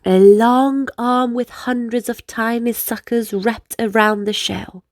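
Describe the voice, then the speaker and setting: A woman reading a children's book aloud in an expressive, deliberate storytelling manner. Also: fairly high pitch, no echo, studio quality.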